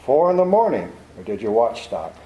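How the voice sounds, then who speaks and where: A man's voice: mid-range voice, big pitch swings, moderate pace, dull audio, lightly acted. An actor playing a cynical, wisecracking male private detective in a 1940s radio drama, with a dry, sardonic manner. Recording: roomy 1940s radio broadcast.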